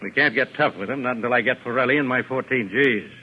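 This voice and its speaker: An actor playing a menacing, short-tempered American gangster boss, bullying and slangy, in an old-time radio suspense style. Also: male voice, mid-range voice, fast, natural voice. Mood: gruff storytelling. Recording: dull, noisy old radio broadcast.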